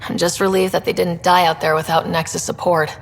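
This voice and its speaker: Low-voiced starship commander. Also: female voice, flat delivery, a little fast, creaky, big-room sound, a little hiss or hum. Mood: casual, dry. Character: supportive, level-headed young female starship commander. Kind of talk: video game character acting.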